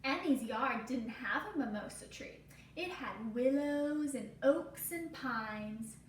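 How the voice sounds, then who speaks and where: A woman teacher reading a children's story aloud deliberately, with simple explanatory asides. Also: high-pitched, expressive. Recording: roomy echo, distant mic, faint noise.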